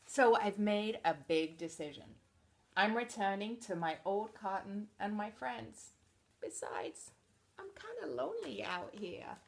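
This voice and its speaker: A woman reading a picture book aloud to children in an expressive read-aloud manner, dropping to soft, chatty asides about the pictures. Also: mid-range voice, a little slow, creaky. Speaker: storybook reader.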